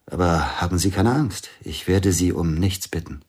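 Radio drama casting: an older grieving pianist, very deep, husky, brooding, hushed. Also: male voice, moderate pace. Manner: brooding, drops to hushed near-whispers. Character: grieving older male pianist, bitter, suspicious. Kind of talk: radio play acting.

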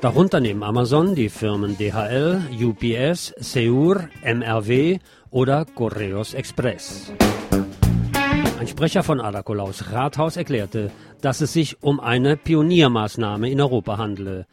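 A man's voice: mid-range voice, animated, measured pace, a little mellow. Male news reader over guitar music. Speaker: news presenter. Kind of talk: scripted news reading. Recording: music under the voice.

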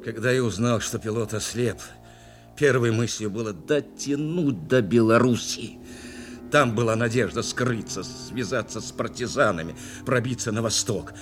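An actor playing a steadfast, protective bomber navigator in a war radio drama, speaking with earnest insistence. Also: male voice, medium pitch, normal speed, over music, natural voice. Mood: grave, reflective. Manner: insistent, repeating short phrases. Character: steadfast male bomber navigator, urging and protective of his commander. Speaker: actor, also narrating in the first person.

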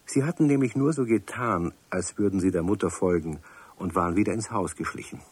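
Guy telling a kids story, lowish raspy voice, talks right to the kids. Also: a little fast, no room sound. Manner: warm storytelling, addresses young listeners. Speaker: narrator. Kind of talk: scripted storybook narration.

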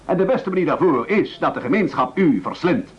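An actor in a radio play playing a pompous, coldly domineering gentleman who gives orders with mock civility. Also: a little high, lively intonation, a little fast, breathy edge. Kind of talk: absurdist radio play acting. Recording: muffled old radio play recording.

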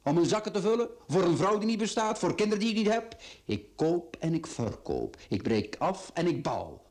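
A man's voice: sits high, big pitch swings, moderate pace, a little mellow. A man acting a ruthless, condescending self-made business tycoon in a radio play, with a Flemish accent and a mocking, commanding way of talking. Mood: defiant, needling.

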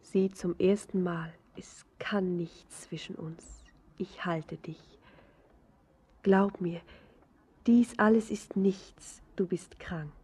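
Breathy, devoted, anxious young woman. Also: lowish voice, fairly even intonation, takes it slowly, a little mellow, exaggerated voice. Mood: tender, hushed. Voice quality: breathy, half-whispered. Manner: tender, imploring. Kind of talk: poetic German radio drama acting.

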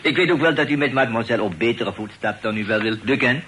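An actor playing a sly, polite, teasing portrait painter and landlord with a French accent and halting phrasing sprinkled with French, in a Dutch radio play. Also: male voice, mid-range voice, sharp tone, natural voice. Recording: noisy old radio play recording.

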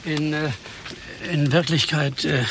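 An actor playing a timid, apologetic programmer in a German radio play, stammering, hesitant and over-polite. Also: male voice, a little high, flat delivery, slow pace, gravelly, noisy background. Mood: flustered rambling. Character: timid, apologetic male programmer, nervously rambling.

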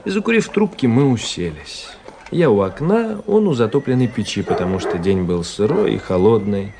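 An actor playing a cultured gentleman traveler, giving measured, literary narration and dialogue in a radio play. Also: on the low side, sing-song, noisy background. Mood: wry, observant. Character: cultured young gentleman officer, traveling writer telling his story.

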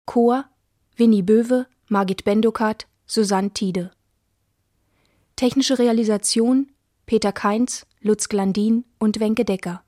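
Slow, dry-sounding woman announcer. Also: on the high side, fairly even intonation, mellow, no room sound. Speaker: radio play announcer. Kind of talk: scripted credits announcement.

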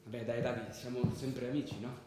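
A man's voice: mid-range voice, flat delivery, fairly quick, a little mellow, very echoey, slight background noise, natural voice. An actor playing an easygoing, teasing young man, a loyal old friend, in a colloquial radio drama. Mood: conciliatory.

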